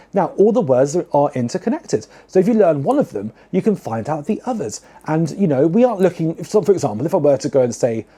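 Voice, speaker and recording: A young British drag queen talks straight to camera, camp and theatrical, with a very dark and mellow tone. He fills his talk with ums and jumps now and then into high, showy exclamations. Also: breathy edge. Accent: British English. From United Kingdom. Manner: many "um" fillers, chatty and self-mocking. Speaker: drag queen podcast host. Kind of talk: chatty solo talk to camera.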